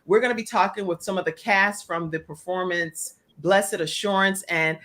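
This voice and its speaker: A woman's voice: on the low side, normal speed, fairly bright tone. Enthusiastic American woman hosting a show. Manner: enthusiastic, welcoming. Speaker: talk show host. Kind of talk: live online talk show hosting.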